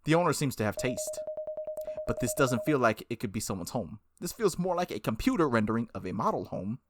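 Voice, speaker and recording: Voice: medium pitch, sing-song, fairly quick, slight background noise. A gaming video host with an informal, playful way of talking, commenting casually and reading game text aloud. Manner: informal, giggly, frequent "like", playful asides. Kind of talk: casual gameplay commentary with read-aloud visual novel text.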